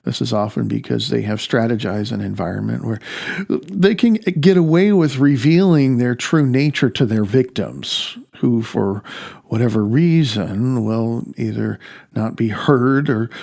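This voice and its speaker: A man reading his own nonfiction audiobook as its author, with deliberate phrasing and dramatic pauses. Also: mid-range voice, creaky, a little mellow. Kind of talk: audiobook narration.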